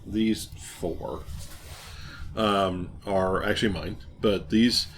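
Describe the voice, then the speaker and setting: A man with a slightly low voice chats casually as he explains vintage gear. He rambles and restarts often, with evident enthusiasm. There is light noise in the background. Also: steady tone, extremely slow. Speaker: host of a hobby radio show.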